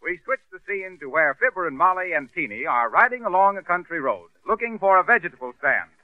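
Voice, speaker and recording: A man's voice: high voice, big pitch swings, brisk. A man as announcer of a vintage radio comedy, giving genial scripted links. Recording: tinny old radio broadcast.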